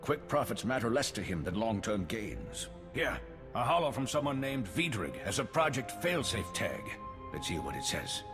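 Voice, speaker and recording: Anxious, placating official, reverberant. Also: male voice, lowish voice, big pitch swings, normal speed, music in the background. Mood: focused. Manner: earnest, placating. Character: anxious male civilian official, pleading and pragmatic appeaser. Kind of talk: scripted game acting.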